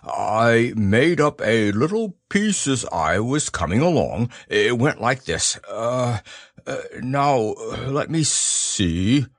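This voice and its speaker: A man voicing a slow, simple-minded, gentle male bear who stammers and hesitates. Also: medium pitch, sing-song, no echo, clean studio sound, full character voice. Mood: hesitant.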